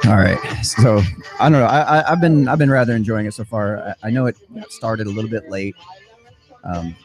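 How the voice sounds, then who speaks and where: A man from the southern United States hosts a casual livestream with a fairly low voice. He talks haltingly, restarting and repeating words as he goes, and music plays clearly behind him. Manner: hesitant, repeats words, many fillers. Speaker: livestream host. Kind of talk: casual live commentary.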